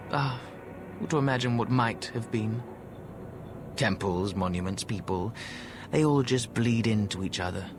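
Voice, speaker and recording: An actor playing a suave, decadent ancient undead Egyptian fixer in a video game, droll and languid with mocking theatrical asides. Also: male voice, lowish voice, lightly acted. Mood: wistful, jaded. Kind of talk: video game cutscene acting.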